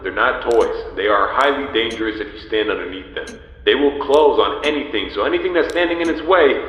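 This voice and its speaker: A man's voice: medium pitch, a bit bright, natural voice. A man playing a pizzeria manager who briefs a new night guard in a polite, explanatory, scripted game phone message. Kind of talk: scripted instructional phone-call monologue. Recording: echoey with long reverb.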